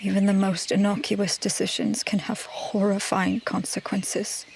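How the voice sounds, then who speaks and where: A woman plays a haunted fantasy adventurer at the game table, guarded and somber. The words come measured and hesitant at a medium pitch, with faint noise behind. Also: little pitch movement, vocal fry, a little mellow. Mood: pensive, grave. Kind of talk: in-character tabletop roleplay.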